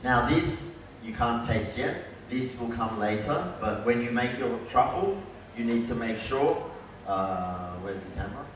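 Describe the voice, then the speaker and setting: A man's voice: medium pitch, unhurried. Middle-aged guy chef talking thru a cooking demo, big echoey hall, sounds far off, room noise. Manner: relaxed, explains step by step in short phrases. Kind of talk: live cooking demonstration. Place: large hall with a stage and audience. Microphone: headset mic.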